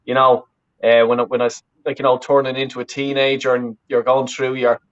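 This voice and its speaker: Irish man with a Dublin accent, casual guest, rambling and swearing freely, middling pitch, muffled audio. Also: flat delivery, fairly quick. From west of Ireland. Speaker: interview guest, fan channel creator. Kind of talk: casual chat.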